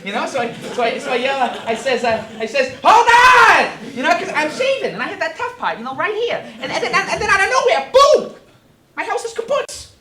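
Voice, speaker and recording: An actress playing a frazzled, jittery talking pig in a comic play, stammering and repeating words, in an echoey room. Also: female voice, fairly high pitch, steady tone, a little fast, hardly any pauses, natural voice. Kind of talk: comic stage-play acting.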